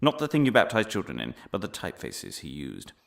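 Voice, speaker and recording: A pompous crackpot professor in a comic audio drama lecture. His low voice has a gravelly creak and swings wildly in pitch. He leans on dramatic pauses, then breaks into theatrical outbursts. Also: huge pitch swings, full character voice. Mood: smug, pedantic. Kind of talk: comic monologue as a mock lecture.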